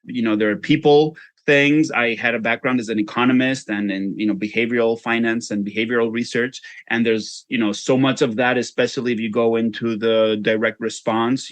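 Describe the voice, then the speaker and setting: A man's voice: medium pitch, no echo. A man from Spain with a Spanish accent, answering interview questions in an informal, self-deprecating way. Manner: informal, self-deprecating, frequent "you know". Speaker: interview guest, fundraising consultant.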